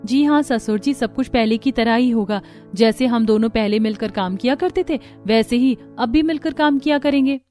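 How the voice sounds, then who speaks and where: A high-pitched young daughter-in-law played by a woman from a family cartoon. She speaks emphatically, dramatizing every household matter, with music playing underneath. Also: moderate pace, darkish tone, close to an everyday voice. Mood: reassuring. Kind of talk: Hindi cartoon family story voice acting.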